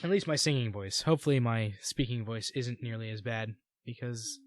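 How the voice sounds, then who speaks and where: A man's voice: mid-range voice, moderate pace, no echo, studio quality. A man hosting a podcast, chatting casually with a guest, laughing easily and repeating words. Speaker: podcast host. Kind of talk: casual interview chat.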